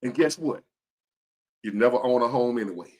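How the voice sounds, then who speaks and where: A man in his fifties giving earnest, preachy motivational talk to his viewers, repeating key phrases and swinging between loud and hushed. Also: mid-range voice, normal speed. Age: 50s. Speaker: motivational vlogger.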